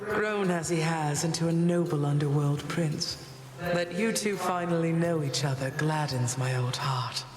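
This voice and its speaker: An actress voicing a stately, grieving elder harvest goddess who speaks in a measured, formal way, in game dialogue. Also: female voice, low-pitched, a crowd in the background. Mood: wistful. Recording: game dialogue with loud music and reverb.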